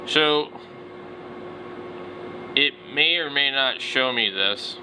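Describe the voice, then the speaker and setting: A man's voice: mid-range voice, very slow, noisy background. A middle-aged man giving casual, unscripted commentary as a hobby vlogger, blunt and opinionated, with some slangy wording.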